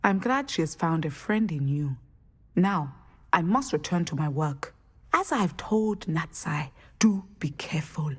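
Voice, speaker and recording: An actress playing a wise, dignified Ugandan divination professor, formal and deliberate with dry amusement, in game dialogue. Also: female voice, low voice, lively intonation, slightly rough, full character voice. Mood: dignified, gently amused.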